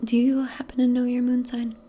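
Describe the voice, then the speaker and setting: A woman role-playing in soft-spoken ASMR, speaking gently and soothingly in a breathy, mostly whispered voice. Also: fairly high pitch, level tone. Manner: gentle, soothing, unhurried, conversational. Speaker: ASMR performer role-playing a modern witch.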